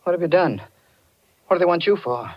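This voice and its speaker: A man's voice: fairly high pitch, animated, brisk, natural voice. An actor playing an anxious, desperate married man with a guilty secret, in earnest, dramatic 1940s radio style. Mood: agitated. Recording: dull, band-limited 1940s radio recording.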